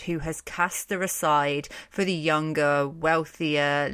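Female podcast narrator, unhurried, opinionated and wry, in a dry studio-like sound. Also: deep voice, a bit bright, no room sound. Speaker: podcast host. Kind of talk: informal scripted narration on mythology.

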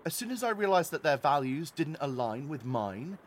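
An actor playing a defensive, frightened lifestyle influencer held hostage in an audio drama, flustered and pleading. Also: male voice, fairly high pitch, sing-song, moderate pace, slight background noise.

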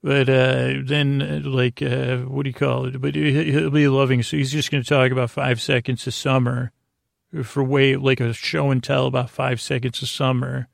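A man hosting a sleep podcast in a rambling bedtime monologue, hesitant and meandering, trailing off into tangents in a gravelly, creaky voice. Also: medium pitch, level tone, moderate pace, dry recording, clean studio sound.

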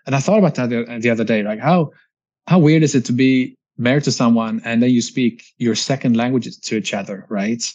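A man from Sweden in his forties with a Swedish accent, chatting reflectively as an interview guest and often ending thoughts with a quick tag question. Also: medium pitch, a little mellow, dull audio. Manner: reflective, chatty, frequent restarts and "right?" tags. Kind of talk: casual interview conversation.

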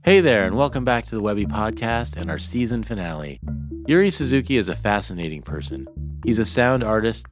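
A man hosting a podcast, reading a scripted intro and then asking questions in a measured way. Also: deep voice. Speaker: podcast host.

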